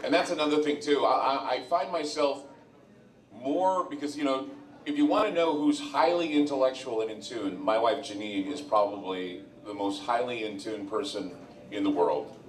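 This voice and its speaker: A male radio host speaking live at a venue, his voice at an ordinary middle pitch. He rambles and repeats himself as he talks. The sound is roomy and echoey, picked up from some distance off the mic. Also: moderate pace. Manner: rambling, conversational, repeats words. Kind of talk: live event talk to an audience.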